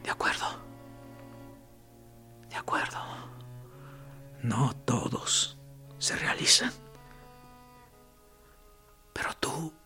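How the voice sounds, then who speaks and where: An actor playing a dead male prisoner in a radio drama, a calm, mocking voice that speaks in a gravelly half-whisper with dry sarcasm. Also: medium pitch, very slow, long pauses, faint music behind, heavily acted. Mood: sardonic. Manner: dry, sardonic, probing.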